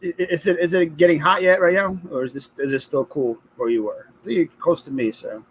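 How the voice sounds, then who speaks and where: A man's voice: fairly high pitch, brisk, rough recording. A man co-hosting a livestream, joking around, reading the chat aloud and stammering on restarts. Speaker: co-host of a livestream.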